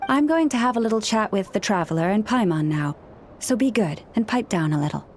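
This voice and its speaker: An actress playing a gentle, patient young woman who speaks with animals in a video game, polite and measured. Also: medium pitch, lively intonation, slight background noise, lightly acted. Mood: coaxing, wry.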